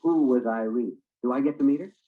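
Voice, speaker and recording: An actor playing a folksy newspaper editor bantering with a reporter in 1940s radio drama style. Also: male voice, mid-range voice, fairly quick, slightly rough, close to an everyday voice. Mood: wry, reminiscing. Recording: muffled old radio broadcast recording.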